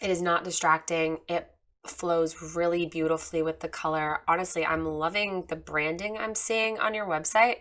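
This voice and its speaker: A woman, slightly hoarse from a recently lost voice, talks through a website critique in a candid, chatty way, full of fillers. Her voice sits a little low but sounds bright and forward. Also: steady tone, normal speed. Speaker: photographer giving a website review. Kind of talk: casual screen-share critique.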